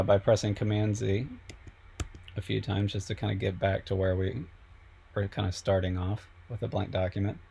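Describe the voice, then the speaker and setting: A man, a professor, explaining software step by step in a relaxed way with many fillers, slightly sick and losing his voice. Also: on the low side, gravelly. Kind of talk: screen-recorded software tutorial.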